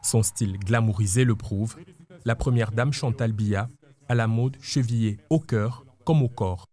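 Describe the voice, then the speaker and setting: A man reading a scripted news report as a voice-over in a polished, measured way. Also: on the low side, warm tone.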